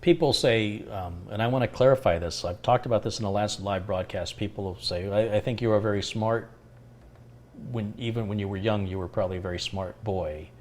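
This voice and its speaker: A middle-aged man hosting a live tech stream, answering viewer questions in a calm, unhurried, reflective way. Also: lowish voice. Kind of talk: casual live Q&A chat.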